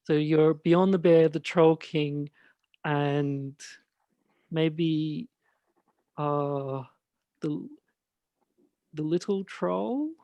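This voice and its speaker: Casting: male podcast host with a light, high-pitched voice, slow and halting chat, recorded dry. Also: dark tone, no room sound, muffled recording. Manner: hesitant, halting delivery. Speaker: host and radio play director.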